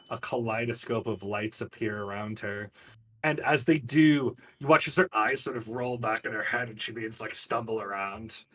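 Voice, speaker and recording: A man's voice: medium pitch, recorded from a distance. A man acting as game master, casually narrating players' actions and scenes in a tabletop game.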